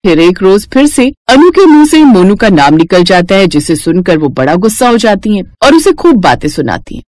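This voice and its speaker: A woman reading scripted narration for a story as an expressive storyteller. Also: medium pitch, no echo.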